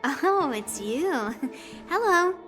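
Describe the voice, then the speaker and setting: A woman's voice: sits high, very animated, extremely slow, music in the background, full character voice. A woman playing a cocky young swordswoman who teases and laughs often, in a game cutscene. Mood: teasing. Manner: teasing, laughs often, smug. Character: cocky young female swordswoman, teasing and bold.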